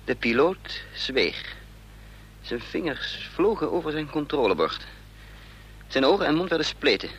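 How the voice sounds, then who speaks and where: A man narrating a Dutch radio play in the first person, measured and suspenseful. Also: a little high, sing-song, fairly bright tone, poor audio. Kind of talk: scripted dramatic narration. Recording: radio play recording with faint noise.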